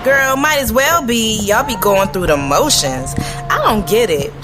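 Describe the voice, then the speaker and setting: A woman's voice: on the high side, expressive, a little slow. A woman playing a bubbly, blunt, nosy girlfriend in an audio drama, with slangy, teasing banter. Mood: blunt, prodding. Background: music.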